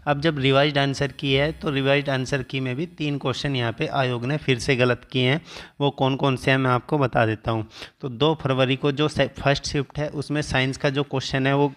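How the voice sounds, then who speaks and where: A man's voice: medium pitch, little pitch movement, a little fast, fairly bright tone. A man speaking as a coaching teacher, explaining an exam update step by step and listing figures.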